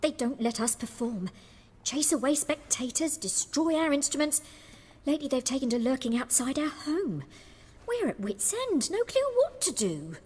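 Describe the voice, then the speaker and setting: An actress playing a wary, weary street performer in a fantasy video game, with a husky, breathy voice. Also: female voice, sits high, sing-song, normal speed, darkish tone, long reverb tail. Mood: worried.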